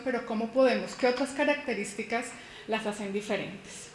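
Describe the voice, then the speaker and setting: A woman from Colombia in her thirties giving a conference keynote, explaining in a personal way with rhetorical questions and a few restarts. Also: fairly high pitch. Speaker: university lecturer giving a keynote. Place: conference hall. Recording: echoey hall with faint noise.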